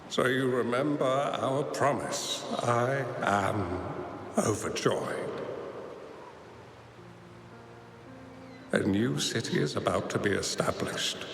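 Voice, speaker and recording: Slow, gravelly old master strategist. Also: male voice, mid-range voice, a bit bright, slight background noise. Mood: warm, reflective. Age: older. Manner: slow, solemn, formal speech. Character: courteous, reflective old master strategist facing his end. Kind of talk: dramatic video game voice acting. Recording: reverberant, echoing game voice processing.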